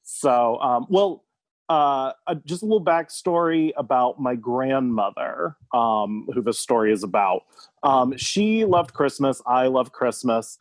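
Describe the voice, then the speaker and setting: A man's voice: medium pitch, expressive, a little slow, no echo. Man telling a personal story, dry sound.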